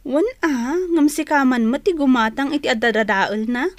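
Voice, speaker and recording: A woman's voice: high voice, moderate pace, no echo, natural voice. A woman with a Filipino accent playing a dutiful, honest fruit seller, respectful and matter-of-fact, in a radio drama. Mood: earnest. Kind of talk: Ilocano radio drama acting.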